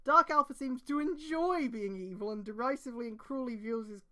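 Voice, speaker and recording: A young woman reading a web page aloud in casual chat, sarcastic and swearing, with sudden loud outbursts. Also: on the high side, a little fast. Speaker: host.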